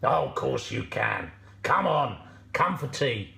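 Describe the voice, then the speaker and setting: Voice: medium pitch, expressive, takes it slowly, gravelly, sharp tone, echoey room, full character voice. A storyteller voicing a sly, falsely friendly fox, breezy, emphatic and persuasive. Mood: coaxing.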